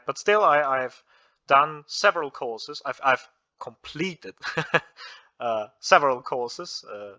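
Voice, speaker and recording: Young Austrian man hosting his channel, bright voice, slightly muffled lo-fi recording. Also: mid-range voice. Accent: Austrian German. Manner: earnest, many restarts and fillers. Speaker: physics student and channel host. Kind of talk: casual explanatory monologue.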